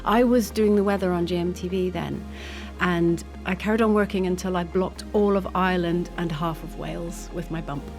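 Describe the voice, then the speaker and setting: A woman's voice: fairly low pitch, fairly even intonation, moderate pace, music in the background. A woman in her fifties, a TV presenter, telling candid family stories as a podcast guest. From Trinidad, Scottish parents. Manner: warm storytelling, candid. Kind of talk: casual interview chat.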